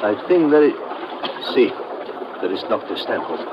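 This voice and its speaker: An actor playing an earnest, deferential police sergeant and guide in an old-time radio adventure. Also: male voice, fairly low pitch, big pitch swings, moderate pace. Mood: earnest, helpful. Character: eager, deferential Latin American male police sergeant and guide. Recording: muffled, very noisy vintage radio recording.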